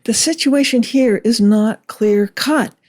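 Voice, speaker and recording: Slow, deliberate woman commentator. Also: on the high side, a little mellow. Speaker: video commentator. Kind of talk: scripted political commentary narration.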